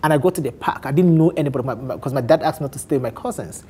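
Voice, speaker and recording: A man in his thirties from Nigeria with an Igbo accent, telling his life story candidly on a talk show, animated and often laughing. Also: medium pitch, racing, slightly rough. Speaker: guest on a men's talk show.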